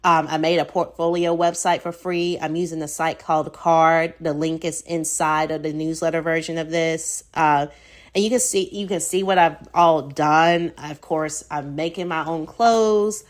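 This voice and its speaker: Low-voiced American woman podcasting. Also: fairly even intonation, normal speed. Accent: Southern US. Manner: casual, reflective, frequent "like" and "um" fillers. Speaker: podcast host. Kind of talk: solo podcast monologue.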